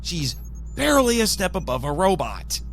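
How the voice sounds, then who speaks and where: A man playing an exasperated, scolding yet contrite team leader in a fan audio drama, speaking expressively and haltingly. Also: high voice. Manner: expressive, sighing, hesitant. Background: background music.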